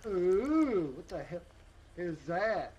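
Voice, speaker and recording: A man's voice: high voice, big pitch swings, slow pace, darkish tone. A man chatting casually with teammates while live streaming a game. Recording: noisy game voice chat.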